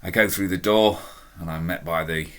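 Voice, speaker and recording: Deep, forceful man telling stories. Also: steady tone, normal speed, smooth. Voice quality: deep, strong voice. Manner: chatty, self-deprecating, emphatic. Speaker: storyteller. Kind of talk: casual anecdotal storytelling.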